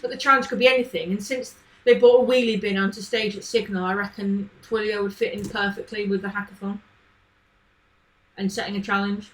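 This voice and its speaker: A woman's voice: a little high, rough recording. A woman chatting casually on a live coding stream, thinking aloud and answering questions from chat. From not from Manchester. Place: home, living in Manchester.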